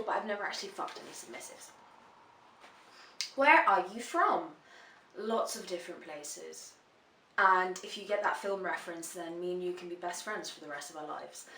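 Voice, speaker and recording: A woman's voice: medium pitch, pushed and bright. Frank, swearing young woman. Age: 20s. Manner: frank and chatty, swears freely. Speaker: dominatrix answering viewer questions. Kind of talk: casual vlog Q&A. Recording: roomy echo, voice a bit far from the mic.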